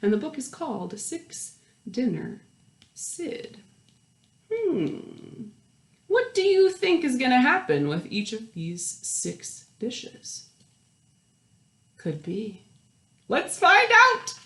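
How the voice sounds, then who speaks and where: A woman reading a picture book aloud to young children, expressive, with questions and asides to her listeners. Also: sits high, takes it slowly, pauses often. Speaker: children's storytime host and story reader. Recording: roomy, echoey indoor sound.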